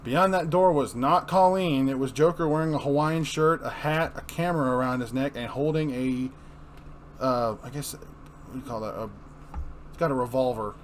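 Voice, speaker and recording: A man's voice: mid-range voice, moderate pace. Male narrator, mid-range, stumbles and self-corrects through a dramatic reading, noisy recording. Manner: stumbles over words and corrects himself. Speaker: comic-book review show host. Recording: clearly noisy room recording.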